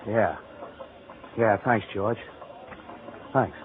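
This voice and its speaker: A brooding low-voiced ex-con, tinny radio. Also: male voice, drawn-out words. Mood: subdued, bitter. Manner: slangy, clipped, tough-guy talk. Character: bitter, brooding ex-convict down on his luck. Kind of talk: 1940s radio acting. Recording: tinny old-time radio recording.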